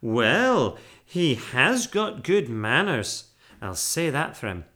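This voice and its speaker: Man as a brisk, fierce housekeeper woman. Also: mid-range voice, very animated, pushed and bright, full character voice. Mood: brisk, softening. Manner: brisk, emphatic, blunt.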